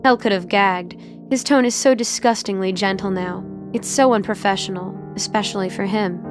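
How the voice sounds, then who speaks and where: A woman's voice: mid-range voice, normal speed, music in the background. A woman reading scripted, dramatic story narration for an audio drama.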